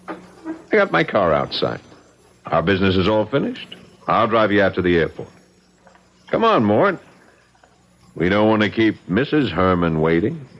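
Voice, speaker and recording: An actor playing a coolly vengeful crime boss in a radio drama, taunting and falsely genial with clipped delivery. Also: male voice, on the low side, big pitch swings, normal speed, long pauses. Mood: false geniality. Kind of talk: 1970s radio drama acting. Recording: muffled old radio recording, noisy background.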